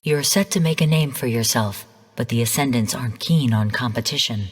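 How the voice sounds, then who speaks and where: An actress playing a female artificial intelligence mentor in a video game, delivering mission briefings in a calm, stern, measured and formal way. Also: rumbling low, breathy edge, long reverb tail. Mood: cool warning. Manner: measured, formal, cool, precise. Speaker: game guide and mission narrator.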